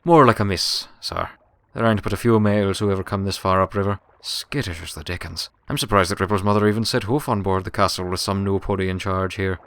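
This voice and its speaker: Man playing a folksy old riverboat servant, low-voiced. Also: a little fast, fairly bright tone, dry recording. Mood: folksy, matter-of-fact. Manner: folksy rustic phrasing, says sir deferentially. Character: elderly pony servant and riverboat hand, plainspoken, dutiful.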